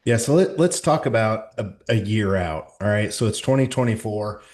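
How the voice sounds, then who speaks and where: A man's voice: on the low side, animated, normal speed, darkish tone. A man hosting a casual interview, enthusiastic and loose, swearing now and then. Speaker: podcast host.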